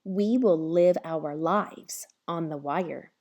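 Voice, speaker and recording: A woman voicing a seasoned male high-wire performer in a storybook, a patient and earnest teacher who speaks in instructive declarations. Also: slow, a little mellow.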